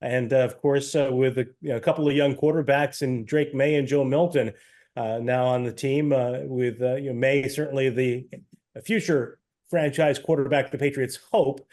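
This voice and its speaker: A man speaking as an interview guest, a sports broadcaster giving long, halting, carefully qualified analysis. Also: mid-range voice, steady tone, a little mellow. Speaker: guest, team radio play-by-play announcer.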